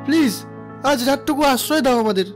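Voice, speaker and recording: A man's voice: extremely high pitch, brisk, soft background music. A man playing a desperate young runaway lover in a cartoon ghost story, pleading urgently and repeating himself. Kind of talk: melodramatic animated cartoon dialogue.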